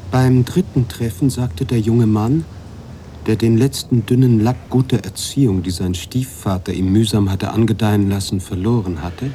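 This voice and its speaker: An actor playing a brooding, guilt-ridden writer who narrates a radio drama in a deliberate, confessional way. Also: male voice, on the low side, a little hiss or hum, close to an everyday voice. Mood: doubting, brooding. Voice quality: husky, hushed at times. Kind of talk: literary radio drama monologue.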